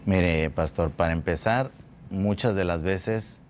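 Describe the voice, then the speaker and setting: A man from Mexico telling his life testimony at a church, speaking slowly and haltingly, often repeating words. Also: deep voice, darkish tone, a little hiss or hum. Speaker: guest giving testimony. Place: church building.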